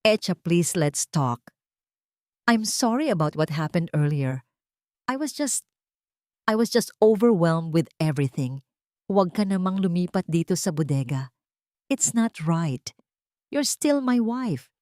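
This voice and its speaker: A woman's voice: on the low side, sing-song, unhurried, pauses often, warm tone, no room sound, studio quality. A Filipino woman voicing a regretful young husband in a story, pleading and hesitant. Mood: pleading, remorseful.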